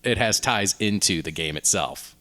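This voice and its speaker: A man with a fairly low voice co-hosts a casual podcast. He talks informally, like someone pitching ideas to friends, and feels his way through the story in a halting manner. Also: expressive, bright, forceful tone. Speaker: podcast co-host.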